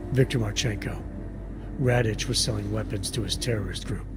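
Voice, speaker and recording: A low-voiced, gravelly male agent from a video game, played by an actor, guarded and terse. His delivery is clipped and deadpan. Music plays clearly behind him. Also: normal speed, natural voice. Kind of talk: video game cutscene acting. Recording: music under the voice.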